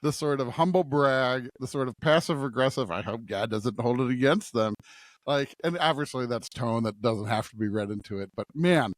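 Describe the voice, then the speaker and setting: A man's voice: mid-range voice, normal speed, no room sound. Male podcast co-host, mid-range voice, scripture reading and discussion, close dry recording.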